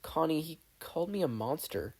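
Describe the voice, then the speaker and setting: Upset teen boy for audio fan fiction, acted by a narrator: gentle, stammering, raised pitch, full of self-doubt. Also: expressive, slightly rough. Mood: distraught. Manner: hesitant, halting, stammering.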